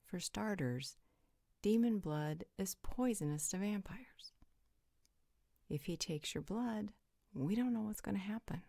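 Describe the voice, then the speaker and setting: Woman acting a ruthless vampire lady, husky and breathy, slow commanding drawl, swooping intonation, audio roleplay. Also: mid-range voice, animated, dark tone, no echo, clean studio sound. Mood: blunt, matter-of-fact. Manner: commanding, deliberate, theatrical. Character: bossy, sharp-tongued female vampire, ruthless and sardonic.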